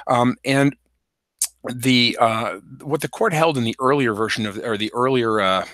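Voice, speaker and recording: A man hosting a solo legal explainer livestream, hoarse from a cold, talking with many fillers and restarts. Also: mid-range voice, measured pace, a bit bright, no room sound, clean studio sound. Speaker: podcast host.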